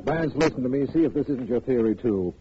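A man's voice: medium pitch, animated, fairly quick, natural voice. An actor playing an earnest, insistent lawman in a 1940s radio detective drama, explaining things emphatically. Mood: eager, reasoning. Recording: muffled, noisy old radio recording.

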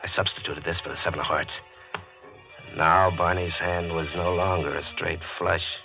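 A man's voice: low voice, fairly even intonation, normal speed, bright, forceful tone. An actor playing a cold, scheming gambler who tells of his own murder in calculated 1940s radio mystery style. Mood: cold, methodical. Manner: calculated, confessional first-person telling. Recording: vintage radio broadcast with music.

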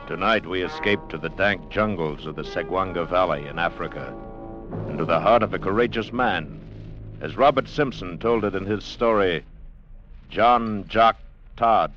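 A man's voice: deep voice, moderate pace, lightly acted. A man announcing an adventure radio drama in a grave, portentous, dramatic mid-century narration style. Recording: muffled old radio recording, music behind.

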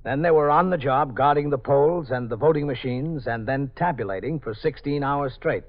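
An American man playing a police captain who narrates his night tour in a measured, matter-of-fact, official manner in a 1950s radio drama. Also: medium pitch, natural voice. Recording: dull 1950s radio broadcast.

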